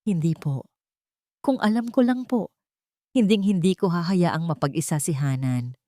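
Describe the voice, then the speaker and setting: A woman's voice: lowish voice, a little slow, warm tone, no room sound, clean studio sound, natural voice. A woman with a Filipino accent voicing a scheming husband who pleads with feigned remorse in an audiobook. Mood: nervous excuses. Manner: pleading, deferential to his elder.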